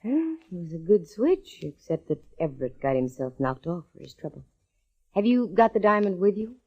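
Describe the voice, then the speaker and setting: Female private eye, American, low, wry and breezily tough; dull vintage radio drama sound. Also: very animated, normal speed, husky, exaggerated voice. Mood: wry, curious. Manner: slangy, wry. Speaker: radio actress, lead and first-person narrator. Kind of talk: 1940s-50s American radio detective acting. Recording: dull old radio recording.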